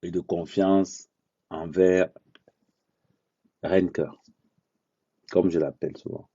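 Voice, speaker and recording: A man with a low, very dark and husky voice talks bluntly and at a slow, deliberate pace, repeating his points to drive them home. The sound is dry and dull, like a lo-fi phone or webcam mic. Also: steady tone, no room sound. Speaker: social media commentator. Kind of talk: unscripted live monologue.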